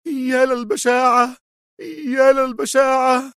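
Man voicing a high-pitched, stern, nervy female official. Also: completely flat delivery, measured pace, clean tone, no room sound, studio quality, exaggerated voice. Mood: horrified. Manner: sharp, commanding, tense. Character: stern, shrill, nervy female national security adviser. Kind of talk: dramatised audiobook storytelling.

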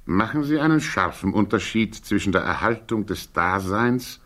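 Low, animated male hearing examiner. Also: normal speed. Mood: challenging. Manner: formal, pointed. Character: challenging, pointed male attorney at a security hearing. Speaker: actor. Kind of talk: 1960s German radio drama acting.

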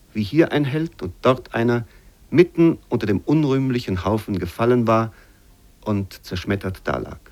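Man, medium pitch, literary radio narration, measured, formal, expressive recitation. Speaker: narrator reading literary prose.

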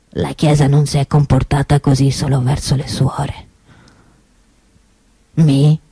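A woman playing an outspoken, indignant preacher at Mass in a theatrical radio monologue, solemn and deliberate, with rhetorical pauses. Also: deep voice, warm tone, slightly rough, no room sound, exaggerated voice.

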